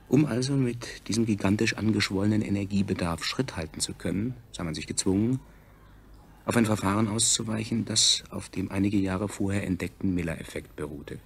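An actor playing the solemn chronicler of a subjugated future people, narrating a scripted science fiction radio drama in a measured, declamatory way with a gravelly, husky voice. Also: male voice, deep voice. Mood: factual, grave.

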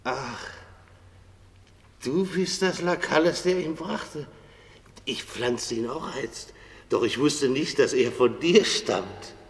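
Slow, kindly farmer juror. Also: male voice, medium pitch, lively intonation. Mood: reverent warmth. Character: kindly male farmer juror of the dead, reverent. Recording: long hollow reverb.

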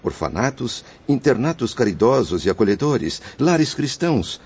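A man's voice: medium pitch, big pitch swings, moderate pace, darkish tone, breathy edge. An actor playing the guilt-ridden, grateful spirit of a father in a radio soap, speaking in a solemn, declamatory way. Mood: hopeful. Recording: dull lo-fi radio drama recording.

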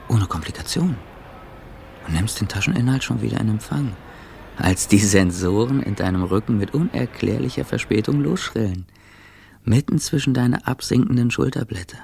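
Gravelly, fatalistic narrator. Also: male voice, on the low side, a little mellow. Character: wry, fatalistic male narrator of a battered, anxious traveller. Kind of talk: second-person radio play narration. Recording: faint background ambience noise.

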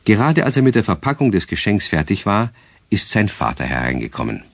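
A man's voice: on the low side, wildly swooping pitch, brisk, gravelly, no room sound, full character voice. A man narrating a children's radio play as a scripted storyteller. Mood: storytelling.

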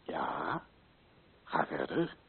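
An actor playing an insistent, authoritative colonial official in a radio play, questioning in a formal, commanding way. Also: male voice, extremely low pitch, huge pitch swings, very slow, husky, heavily acted. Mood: grave, resolute. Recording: thin, phone-like old radio recording.

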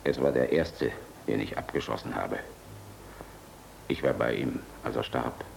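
A man's voice: very deep voice, unhurried, creaky, full character voice. An actor in a German radio play, playing a world-weary, tender general and flier who knows he is hunted. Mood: grave, confiding. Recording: noisy old radio play recording.

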